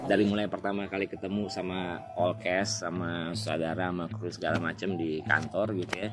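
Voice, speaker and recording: Man, Jakarta accent, lowish voice, casual actor interview, loud plucked guitar music underneath. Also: little pitch movement, brisk. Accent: Jakarta Indonesian. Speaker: actor in a film. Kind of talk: casual behind-the-scenes interview.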